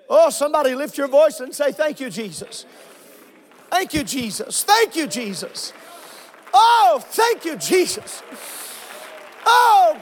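A male pastor preaching and praying with fervour, repeating phrases, out of breath and swinging from shouts to low murmurs. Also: slight background noise. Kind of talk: Pentecostal preaching and prayer. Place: church sanctuary with congregation.

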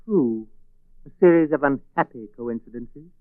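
An actor playing a guarded, suspicious schemer, stiffly formal, in a 1940s radio drama. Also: male voice, medium pitch, normal speed, no echo, lightly acted. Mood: wary. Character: guarded, suspicious husband hiding a murderous scheme. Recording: muffled 1940s radio recording, phone-like band.

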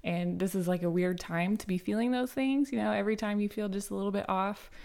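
A young woman with a slightly raspy voice hosts a relaxed solo podcast. She talks in a loose, rambling way, full of "um" and "like", and keeps coming back to restart her sentences. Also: mid-range voice, a little fast. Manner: chatty, many fillers and restarts, light laughs. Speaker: podcast host. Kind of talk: casual solo podcast storytelling.

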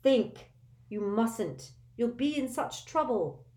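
A woman's voice: fairly high pitch, animated, slow, dark tone, echoey room, voice pushed up. An anxious, clever teenage girl from a storybook, urgent and pleading in a raised voice, as a woman reader acts her out. She sounds young and worried.

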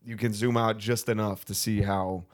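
A man's voice: on the low side, steady tone, moderate pace. A man from Southern California with an American accent, chatting casually and candidly as a podcast guest. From Southern California, United States.

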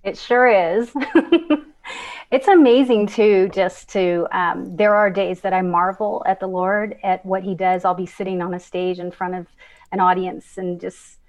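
A woman's voice: medium pitch, steady tone, measured pace, a little mellow. A woman guest sharing her personal testimony in an emphatic, storytelling way.

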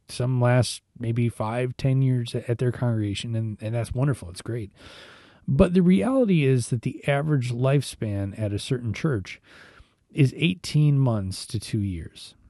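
A man with a hoarse, mellow, rather dark voice gives a relaxed devotional talk. He speaks conversationally, often restarting his words. The recording is close and dry, with no room sound. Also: medium pitch, no echo. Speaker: devotional podcast host, church musician.

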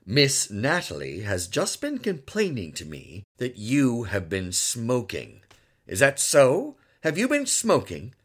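A man's voice: fairly low pitch, wildly swooping pitch, slow pace, few pauses, a bit bright, exaggerated voice. A man reading the part of a stern lawyer father who scolds his son emphatically, in an audiobook. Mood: scolding.

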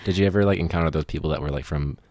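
This man hosts a podcast, and he speaks with a very deep voice at a quick clip. He asks easy follow-up questions and laughs along with his guest. The recording is close and dry. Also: darkish tone, dry recording. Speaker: podcast host. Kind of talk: casual interview chat.

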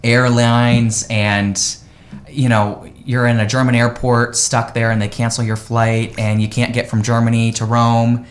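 A man's voice: mid-range voice, flat delivery, unhurried. A man from the United States, a real estate agent guesting on a live radio interview, talking in an upbeat, enthusiastic way.

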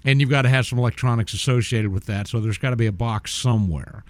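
A man's voice: medium pitch, fast, dry recording. A middle-aged man guesting on a radio show, chatting about his trade in a genteel, chatty way in a studio. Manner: genteel, chatty, with small restarts. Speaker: guest audio-video installer. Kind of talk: casual radio chat.

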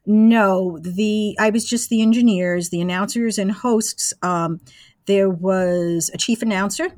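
A woman's voice: mid-range voice, measured pace, a little mellow, no room sound. A woman in her sixties recounting her past step by step in a conversational interview, as the guest. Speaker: interview guest, former college radio engineer.

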